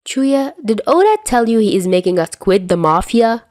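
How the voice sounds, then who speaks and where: A woman's voice: fairly high pitch, expressive, moderate pace, a little mellow, no room sound, clean studio sound, voice pushed up, close to an everyday voice. A woman voicing a flustered young man who sputters and protests indignantly in an audiobook-style story.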